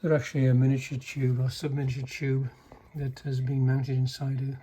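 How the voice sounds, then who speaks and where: A man giving a casual, unscripted technical demonstration in a halting, low-key way, like a restorer explaining equipment. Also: flat delivery, dark tone, breathy edge. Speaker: vintage radio restorer demonstrating a set.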